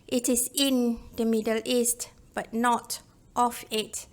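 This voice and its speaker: A woman's voice: on the high side, flat delivery, slow pace. A woman reading a scripted book review as a narrator.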